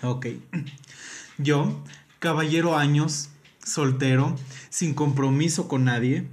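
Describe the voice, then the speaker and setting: A man's voice: a little high, steady tone, slow. A man with a Mexican accent, chatting casually and playfully reading aloud as a podcast co-host.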